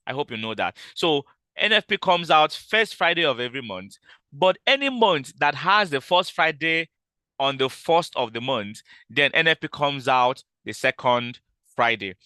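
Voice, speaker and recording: Loud, bright-voiced man teaching trading. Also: mid-range voice, clear, resonant tone, no echo. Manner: explanatory, repeats points, frequent fillers and restarts. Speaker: trading instructor. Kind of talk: live online trading class.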